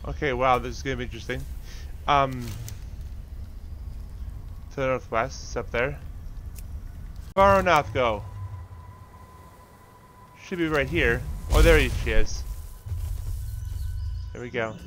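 A man's voice: medium pitch, takes it slowly, pauses often, a bit bright, a little hiss or hum. A man giving casual gameplay commentary as a channel host, informal and muttering his reactions to himself.

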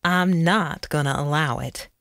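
A narrator voicing a tired, stubborn old county sheriff in an audiobook, gruff and folksy, given to cursing. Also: mid-range voice, moderate pace, no room sound, studio quality, lightly acted. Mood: firm, harsher. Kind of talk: audiobook character voice.

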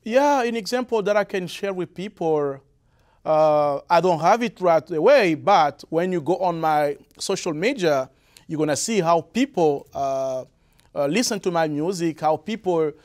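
A young man from the Republic of the Congo with a Congolese French accent, giving halting interview answers full of fillers and repeats. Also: a little high, lively intonation, a little mellow. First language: Lari. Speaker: interview guest, musician. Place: studio with blue curtain. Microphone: lapel mic.

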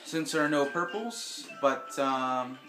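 A man casually commenting while he plays a video game, slightly raspy and hesitant, with lots of ums, filmed off a TV at home. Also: mid-range voice, expressive, slow pace. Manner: rambling, hesitant with many ums. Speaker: gameplay video host. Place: home room with TV, filming screen. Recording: echoey room, game music behind.

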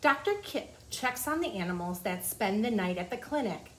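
Female teacher, 40s, mid-range voice, children's read-aloud with simple asides, small office with clear background noise. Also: mid-range voice, expressive, normal speed, a bit bright. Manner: addresses young listeners with questions and simple explanations. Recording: clearly noisy room ambience.

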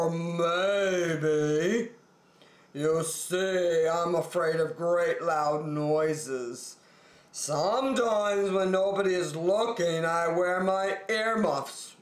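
Lady doing a big shy storybook lion, slow and drawn out, echoey room. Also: fairly low pitch. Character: big lion, gentle but fretful.

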